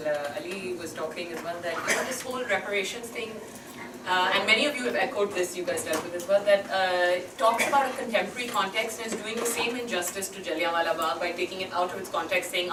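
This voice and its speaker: A woman's voice: lowish voice, fast. A woman on a seminar panel, reasoning carefully with some hesitation. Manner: thoughtful, hesitant. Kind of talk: academic panel discussion. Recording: roomy hall, distant mic, noisy.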